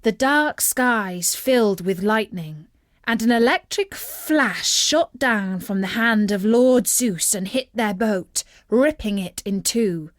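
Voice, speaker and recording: Slow-paced woman storyteller. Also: medium pitch, dry recording, studio quality. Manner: measured, expressive. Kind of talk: scripted children's story narration.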